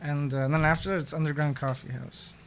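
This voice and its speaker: A man's voice: on the high side, fairly even intonation, fast, a little hiss or hum. A young man in a band talking casually about upcoming shows, rambling and stopping to search for words. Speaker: band member. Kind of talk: casual chat.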